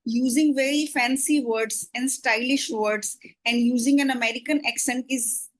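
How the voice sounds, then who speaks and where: A woman from Delhi with an Indian English accent and Hindi as her first language, explaining her views in a measured, thoughtful way. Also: sits high, steady tone. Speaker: interviewee. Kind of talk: casual English practice conversation.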